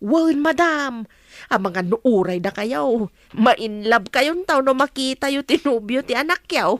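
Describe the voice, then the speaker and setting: A woman's voice: sits high, lively intonation, unhurried, no echo, clean studio sound. A woman playing an eager gossip who reports to her madam in an Ilocano audio drama, insistent and deferential. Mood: teasing, insistent.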